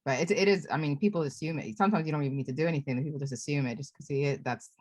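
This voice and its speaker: Low-voiced chatty woman director. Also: very fast, darkish tone, no echo. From Lebanon. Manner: chatty, self-reflective, laughs often, many restarts. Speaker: film director being interviewed. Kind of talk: casual video call Q&A.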